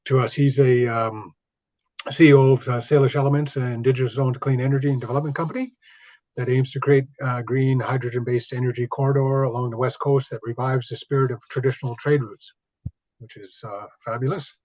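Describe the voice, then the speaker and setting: A man hosting a webinar, introducing a speaker in a relaxed way with lots of fillers. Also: mid-range voice, brisk, dry recording, highs cut off. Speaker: webinar host.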